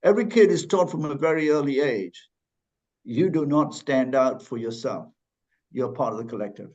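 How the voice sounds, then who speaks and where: A man from Malaysia talks in a thoughtful, halting way, restarting his sentences as he works through his points. His voice sits at a middling pitch, and the recording sounds dull and lo-fi. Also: animated, darkish tone. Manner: thoughtful, many restarts and fillers. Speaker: guest. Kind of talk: conversational interview.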